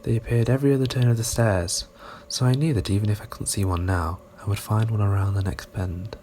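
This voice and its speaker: A man's voice: fairly low pitch, steady tone, fairly quick, dark tone. A man narrating a scripted first-person horror story. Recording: faint background noise, amateur recording.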